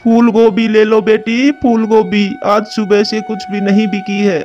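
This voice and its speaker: A man's voice: sits high, completely flat delivery, unhurried, darkish tone, lo-fi sound, soft background music. A man voicing an old, poor pigeon who pleadingly sells vegetables in a cartoon. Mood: weary, pleading.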